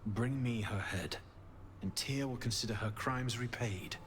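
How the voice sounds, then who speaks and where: An actor playing a grave, vengeful holy knight in a fantasy game, speaking formally and urgently. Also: male voice, mid-range voice, a little slow, slight background noise, close to an everyday voice. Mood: solemn plea. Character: grave, wounded self-proclaimed paladin, pious and vengeful. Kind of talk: dramatic fantasy game dialogue.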